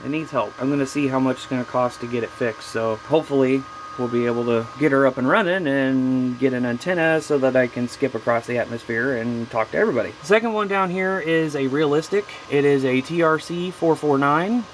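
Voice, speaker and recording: A man's voice: mid-range voice, fairly quick, slight background noise, poor audio. A man giving a casual, folksy show-and-tell about his hobby gear as a video host, with informal slang.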